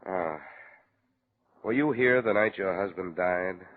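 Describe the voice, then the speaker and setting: An American actor playing a cool, probing insurance investigator in a 1950s radio detective drama, speaking in measured, clipped lines. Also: male voice, low-pitched. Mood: polite, sympathetic. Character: male insurance investigator, cool, probing hard-boiled detective narrating his case. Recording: muffled, narrow-band old radio recording.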